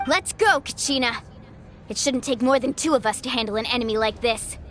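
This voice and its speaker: Woman acting spirited, high-voiced young game heroine. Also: brisk, noisy background, lightly acted. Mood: resolute. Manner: earnest, energetic, bold. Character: brave, spirited young woman warrior, loyal friend. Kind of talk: anime-style video game acting.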